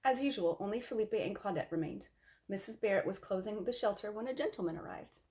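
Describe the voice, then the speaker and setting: Female storybook reader, mid-range pitch, expressive read-aloud delivery for young children. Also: quick pace.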